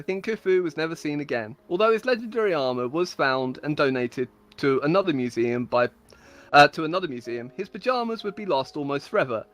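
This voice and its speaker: A woman giving a playful, mock-solemn dramatic reading of her own comic stories, like a storyteller. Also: low-pitched. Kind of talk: comedic dramatic reading of scripted tales.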